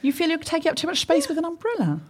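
A woman's voice: very high voice, very animated, very fast, a crowd in the background. A woman co-hosting a live comedy podcast on stage, chatty and anecdotal, acting out both sides of the conversations she retells. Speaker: podcast co-host. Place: live stage before an audience.

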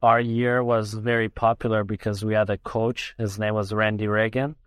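A man's voice: lowish voice, level tone, normal speed, clear, resonant tone, dry recording, clean studio sound. A man from Iran who grew up in Canada, not a native English speaker, chatting casually as an understated interview guest. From Iran, raised mostly in Canada. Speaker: interview guest, soccer player. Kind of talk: casual chat, recounting his own story.

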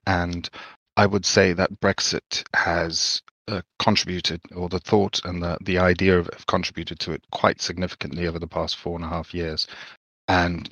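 Low-voiced halting man from Zimbabwe. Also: fairly bright tone, no room sound. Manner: halting, repeats words, thoughtful. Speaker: podcast co-host. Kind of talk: casual chat.

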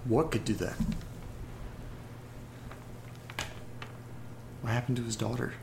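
A man playing an uneasy horror podcast host who reads letters aloud, earnest and deliberate, with pauses between phrases. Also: medium pitch, fairly even intonation, gravelly, background noise, lightly acted. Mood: shaken, realizing. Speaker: audio drama podcast host character reading listener letters. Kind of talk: dramatic narration in a horror audio drama.

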